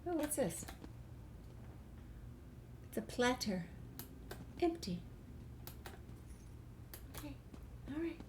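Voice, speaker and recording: Chatty woman gamer, slightly high voice. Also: sing-song, extremely slow, long pauses, slight background noise. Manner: chatty, musing to herself. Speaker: gamer, channel host. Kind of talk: casual let's play commentary.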